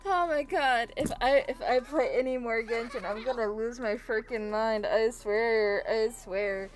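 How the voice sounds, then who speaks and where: A woman's voice: fairly high pitch, a little slow, a little hiss or hum. A woman livestreamer chatting casually to her game stream, giggly and rambling, often repeating her phrases.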